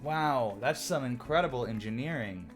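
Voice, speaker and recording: A loud-voiced man talks casually on a livestream, exclaiming as he reacts to chat and rambling into opinionated stories. His voice sits at an ordinary middle pitch for a man. Music plays clearly behind him the whole time. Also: animated, moderate pace. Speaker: streamer.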